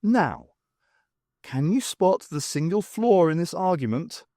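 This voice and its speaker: A man voicing a brisk, stern woman adventurer in an audiobook, commanding and dryly exasperated. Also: on the high side, big pitch swings, mellow, no room sound, clean studio sound, pitched above the performer's own voice. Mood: probing.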